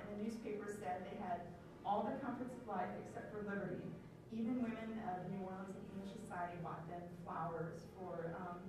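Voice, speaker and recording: A woman giving a public history lecture at a podium in a large room, with a filler-dotted delivery. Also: medium pitch. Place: large bare-walled room, indoors. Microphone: podium microphone, recorded by far camera. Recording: echoey, noisy room heard from far off.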